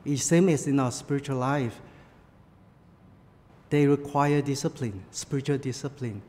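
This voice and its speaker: A man from Malaysia whose first language is Chinese, preaching a sermon with personal stories in halting English full of fillers. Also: mid-range voice, steady tone, a little mellow, big-room sound. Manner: halting, many fillers, non-native English phrasing.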